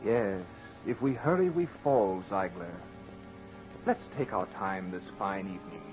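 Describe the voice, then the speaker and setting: A mocking young gentleman speaks with simmering menace in an old radio horror play. His pitch sweeps widely and theatrically over drawn-out phrases. The recording is muffled, with music underneath. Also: expressive. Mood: mocking, menacing. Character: sardonic young gentleman customer, suave and menacing, barely contained resentment. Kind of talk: 1940s radio horror acting.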